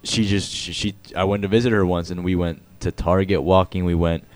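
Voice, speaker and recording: A young man in casual chat, relaxed, often repeating his words while he thinks. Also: low voice, brisk, no room sound.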